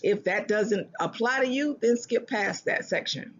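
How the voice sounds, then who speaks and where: A speaker giving earnest relationship advice in a self-help talk, often restarting phrases and slowing down to stress a point. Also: mid-range voice, highs cut off. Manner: earnest, repeats and restarts phrases, slows for emphasis.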